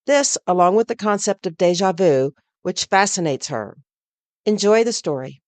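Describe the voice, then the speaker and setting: A woman's voice: on the low side, lively intonation, moderate pace, a little mellow, dry recording. A woman who is an author gives a friendly, scripted welcome and introduces the story that follows.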